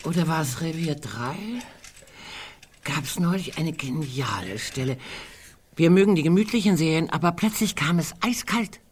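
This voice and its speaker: An actress in a radio play voicing a morbid, sardonic woman who muses bluntly among friends, sometimes dropping to hushed tones. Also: deep voice, animated, moderate pace, husky. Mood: gossipy, relishing.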